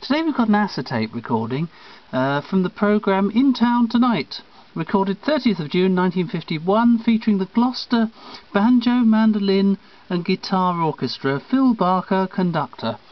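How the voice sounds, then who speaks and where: A man's voice: high-pitched, lively intonation, moderate pace. A man presenting to viewers in a mock-formal broadcast announcer manner. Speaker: presenter of an old-record video. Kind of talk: mock-broadcast introduction to camera. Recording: dull lo-fi home video sound.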